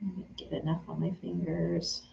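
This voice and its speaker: Woman, forties, from Texas, casual craft live stream, lowish voice, roomy home room. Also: level tone, normal speed, gravelly, darkish tone, a little hiss or hum. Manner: chatty, answers viewers by name while working with her hands. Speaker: craft vlogger answering live chat. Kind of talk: casual live-stream chat while sewing. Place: home sewing room, indoors. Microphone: camera on a tripod, a few feet away. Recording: roomy, slightly dull home-camera sound.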